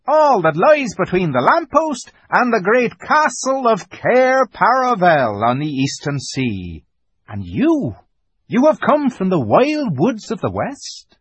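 A man's voice: high voice, huge pitch swings, slow, breathy edge, no echo, voice pushed up, exaggerated voice. A man voicing a polite, fussy, eager fawn creature in a storybook, with formal, flustered courtesy. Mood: courteous, curious.

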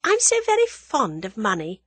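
A woman voicing a quick-witted young adventuress who is sweet, polite and teasingly composed. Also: high voice, very animated, a bit bright, dry recording, pitched above the performer's own voice, heavily acted. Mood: sweetly sly.

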